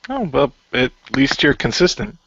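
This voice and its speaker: Guy playing an easygoing hero in a radio play, rambles a bit, bright voice, dull dry recording. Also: mid-range voice, very animated, moderate pace, husky, dry recording, pitched above the performer's own voice, full character voice. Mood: wry. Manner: rambling, hesitant, circling back. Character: David, easygoing, hesitant young hero, mildly wry.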